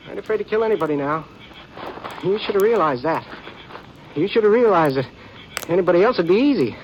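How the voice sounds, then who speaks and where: An actor playing a nervous, treacherous small outlaw in a radio western, with folksy, hesitant rural speech on an old recording. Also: male voice, sits high, lively intonation, fast talker, muffled recording. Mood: menacing, agitated. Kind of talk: 1950s radio western acting. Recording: noisy vintage radio recording.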